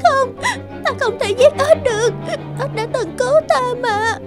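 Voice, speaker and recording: A woman voicing a gentle, kind young orphan girl in a cartoon fairy tale, plaintive, earnest and polite. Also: very high voice, unhurried, music in the background. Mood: pleading.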